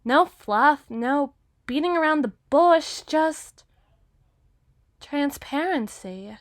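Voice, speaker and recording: Woman acting a high-voiced, lovestruck teenage girl writer. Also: animated, slow pace, dry recording, studio quality. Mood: intense, longing. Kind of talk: ASMR roleplay monologue.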